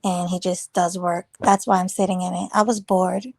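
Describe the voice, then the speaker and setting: A woman chats loosely on a morning livestream, greeting viewers and riffing on their comments. Her voice is a touch raspy from the early hour, and it sits close and dry with no room around it. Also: fairly low pitch, little pitch movement, normal speed, no echo. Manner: chatty, rambling, teasing viewers. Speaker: livestream host. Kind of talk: casual live chat reading comments.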